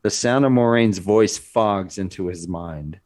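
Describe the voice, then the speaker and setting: Casting: male narrator, fairly low voice, reading scripted scene directions for a drama table read. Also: a little slow, a little mellow.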